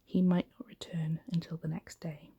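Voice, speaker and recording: A woman giving a soft-spoken, gentle, hushed ASMR reading of a bedtime story in a breathy, whispery voice. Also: low-pitched, level tone, normal speed.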